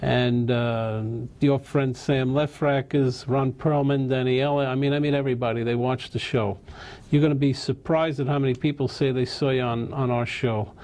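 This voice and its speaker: A man's voice: mid-range voice, steady tone, moderate pace, darkish tone. A man hosting a talk show, in a plain mid-range voice. He chats loosely with his guest, teasing him and rambling along with fillers. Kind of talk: casual interview chat.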